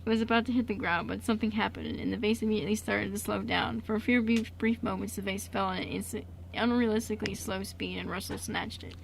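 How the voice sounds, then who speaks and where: A woman reading scripted narration for an amateur radio play, stumbling now and then in a halting read. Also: mid-range voice, fairly even intonation, vocal fry, a little hiss or hum, rough recording.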